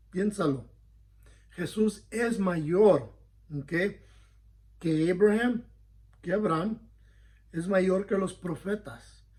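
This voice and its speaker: A man with a high-pitched voice teaches a Bible study as a pastor. He speaks earnestly and conversationally, often pausing to check that his listeners follow. He corrects himself mid-phrase as he goes. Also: a little mellow. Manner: frequent self-corrections.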